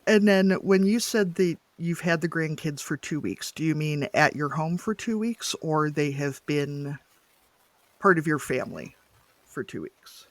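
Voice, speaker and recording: A man's voice: fairly high pitch, measured pace, no echo. A man hosting a podcast interview, asking questions and joking in a playful, casual way. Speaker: podcast host.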